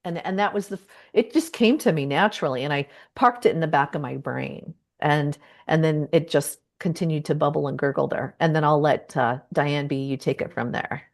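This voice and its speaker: A woman speaking as a guest patient advocate in an online discussion, giving a candid, reflective personal account in a halting, self-interrupting way. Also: low voice.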